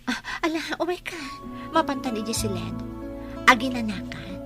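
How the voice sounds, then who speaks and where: A woman's voice: high voice, very animated, moderate pace, over music. A Filipino woman with an Ilocano accent playing a sly, sweet-talking girlfriend in an audio drama, with a coaxing, theatrical delivery. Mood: flustered, evasive.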